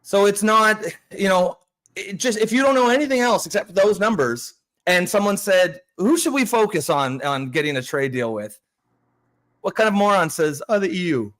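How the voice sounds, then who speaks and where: A man's voice: high-pitched, expressive, moderate pace, a bit bright. Sarcastic Canadian man talking politics. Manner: sarcastic, mocking, with filler-heavy restarts. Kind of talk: casual political chat.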